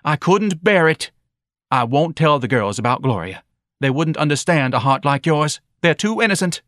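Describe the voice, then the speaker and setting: A man's voice: on the high side, expressive, measured pace, no echo, clean studio sound, voice pushed up. A man voicing a self-righteous, meddling wife who scolds and pleads theatrically, in an audiobook story. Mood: martyred outrage.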